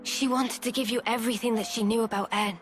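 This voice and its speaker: An actress playing a gentle, resolute young woman in a dramatic fantasy game cutscene, speaking with measured, solemn tenderness. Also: fairly high pitch, steady tone, music in the background, close to an everyday voice. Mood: earnest, solemn.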